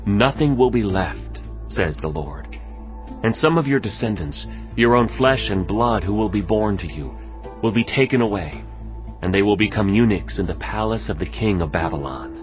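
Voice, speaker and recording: A man playing the prophet Isaiah, declaiming gravely. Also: on the low side, expressive, normal speed, close to an everyday voice. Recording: dull lo-fi audio over faint music.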